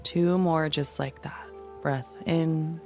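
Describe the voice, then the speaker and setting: A woman leading a guided meditation in a soothing, gentle, unhurried manner. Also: low voice, fairly even intonation. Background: music.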